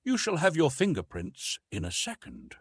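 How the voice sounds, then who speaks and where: A man narrating plays an authoritative police official in a storybook. He instructs patiently and formally, with precise phrasing. His tone has a husky grain and lively rises and falls, in a dry recording. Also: a little high, moderate pace, no echo, voice pushed up, exaggerated voice. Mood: instructive.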